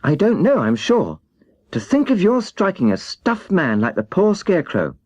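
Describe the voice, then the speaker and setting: Plucky storybook little girl, played by a man: forthright, earnest, raised pitch, lively swoops. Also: moderate pace, no room sound, exaggerated voice. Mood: indignant. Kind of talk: children's storybook character reading.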